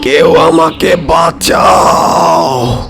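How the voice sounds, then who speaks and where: A man's voice: a little high, very slow, music in the background. A man voicing a sick, panicky hyena in a cartoon, wailing and pleading melodramatically. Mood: anguished. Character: sick, panicky male hyena moaning for help.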